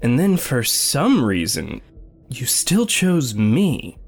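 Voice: mid-range voice, wildly swooping pitch, slow, slightly rough, faint music behind, exaggerated voice. An actor playing a skeptical, sardonic young recruit in an audio drama, hesitant and emotionally guarded. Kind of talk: naturalistic audio drama dialogue.

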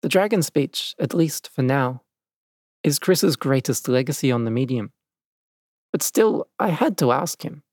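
A man hosting and narrating a scripted documentary in a measured, reflective way. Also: medium pitch, animated, a little mellow, no echo, studio quality. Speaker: podcast host and narrator.

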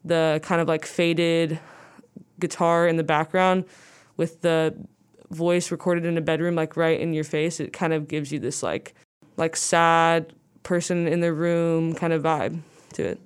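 Young woman guest, low smooth voice, rambling casual talker. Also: steady tone, measured pace. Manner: rambling, says "like" a lot. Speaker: guest musician. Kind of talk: casual interview chat.

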